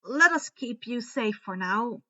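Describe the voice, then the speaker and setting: Woman reading as a bright-voiced, gentle werewolf man. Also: fairly high pitch, expressive, unhurried, muffled recording, heavily acted. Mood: reassuring. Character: protective, gentle adult male werewolf.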